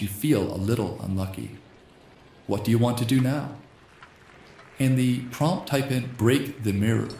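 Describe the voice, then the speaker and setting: A slightly hoarse man hosting a gaming channel, reading a text adventure game aloud in a soft ASMR style and speaking the punctuation marks. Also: lowish voice, reverberant, a little hiss or hum. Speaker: host.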